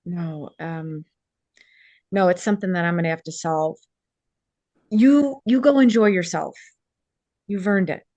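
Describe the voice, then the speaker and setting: A woman's voice: fairly low pitch, a little slow, pauses often, dark tone, no room sound, close to an everyday voice. A woman actor playing an earnest, determined young fashion designer, naturalistic and conversational with some hesitation, in a table read. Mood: worried.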